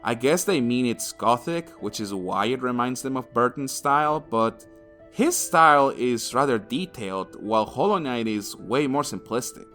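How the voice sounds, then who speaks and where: A man's voice: medium pitch, expressive, moderate pace, fairly bright tone, faint music behind. A man narrating an opinionated video game review in a crude, sardonic way, swearing freely.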